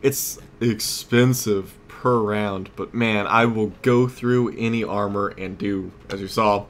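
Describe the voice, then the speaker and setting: A man's voice: lowish voice, steady tone, slow pace. A man giving casual gameplay commentary as a let's play host, thinking aloud in a conversational way.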